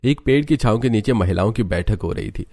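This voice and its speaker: Male narrator with a low voice for an animated village tale. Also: a little fast, dry recording, studio quality. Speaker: story narrator. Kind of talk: scripted Hindi cartoon story narration.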